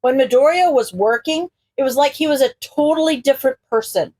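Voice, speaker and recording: Woman, story narrator, higher-pitched voice, expressive scripted reading. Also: a little fast. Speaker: podfic narrator.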